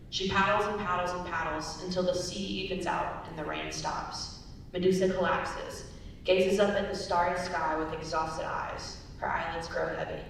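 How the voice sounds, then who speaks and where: A young woman reading scripted narration and stage directions as a narrator. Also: gravelly. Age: young adult. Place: large echoey indoor performance space. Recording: very echoey hall, distant mic, rumbly noise.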